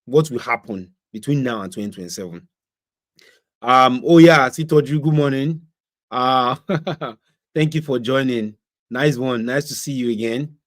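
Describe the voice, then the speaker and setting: A Nigerian man hosts his own livestream and talks through the news in a loose, conversational way. His voice sits at an ordinary middle pitch. He leans on pointed rhetorical questions and mixes pidgin phrases into his English. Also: a little slow, pauses often. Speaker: livestream host. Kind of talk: casual political commentary. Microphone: streaming setup.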